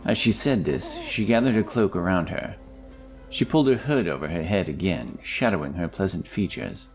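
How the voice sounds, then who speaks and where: A man narrating a fantasy story in a measured, dramatic scripted style. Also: sits low, expressive, a little mellow. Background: music.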